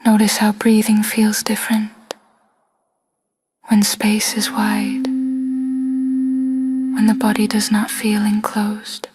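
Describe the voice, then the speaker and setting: A woman with a soft, breathy, hushed voice leading a scripted guided body scan meditation in short phrases with long pauses. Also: medium pitch, completely flat delivery.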